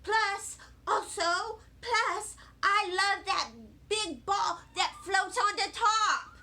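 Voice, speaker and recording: A woman voicing a stubborn, excitable kindergarten girl with childish, emphatic speech in a children's storybook reading. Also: extremely high pitch, slow pace, pitched above the performer's own voice, heavily acted. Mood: excited. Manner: childish grammar, emphatic, insistent. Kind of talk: children's storybook read aloud.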